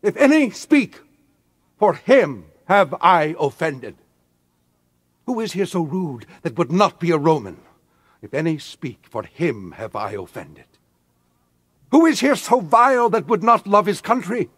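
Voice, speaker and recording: A man's voice: high-pitched, very animated, unhurried, pauses often, slightly rough, dry recording, studio quality, full character voice. An actor playing a Roman nobleman giving a rhetorical speech to a crowd in a classical radio drama. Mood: declaiming. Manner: rhetorical, declamatory oration.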